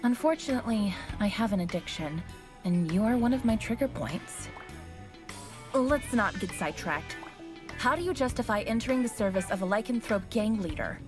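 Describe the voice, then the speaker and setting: A woman's voice: mid-range voice, animated, moderate pace, close to an everyday voice. A woman playing a stern young law-enforcing heroine in a comic video game, speaking in a firm, matter-of-fact, scolding way. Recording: game music behind the voice. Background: game music.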